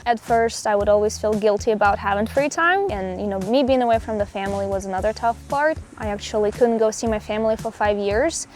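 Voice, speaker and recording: A woman's voice: medium pitch, fairly quick, few pauses, darkish tone, faint music behind. A young woman from Russia speaking English, answering interview questions outdoors in a reflective, casual way. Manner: reflective, with small restarts. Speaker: pro pickleball player, guest. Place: outdoors on a hiking trail.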